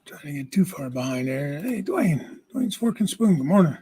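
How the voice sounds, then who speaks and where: A man with a raspy voice hosting a casual livestream from home, greeting viewers by name and chatting. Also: on the high side, sing-song, moderate pace, mellow. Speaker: livestream host.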